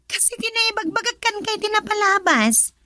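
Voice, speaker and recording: Radio drama casting: gossipy, exclamatory girl friend, Ilocano accent, very high voice, wildly swinging intonation. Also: animated, bright, forceful tone, no room sound, exaggerated voice. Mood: cautioning. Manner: exclamatory, teasing, drawn-out delivery. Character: excitable gossipy young woman, heroine's loyal best friend.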